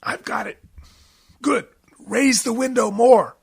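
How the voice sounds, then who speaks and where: An actor playing an excitable, boozy male sidekick in an old-time radio detective drama, with a husky, gravelly voice. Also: very high-pitched, slow, exaggerated voice. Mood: nervous strain.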